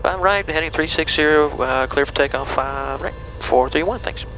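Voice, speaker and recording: A man's voice: fairly high pitch, normal speed, background noise. A man piloting a plane gives clipped radio readbacks and cockpit callouts. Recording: cockpit audio under music.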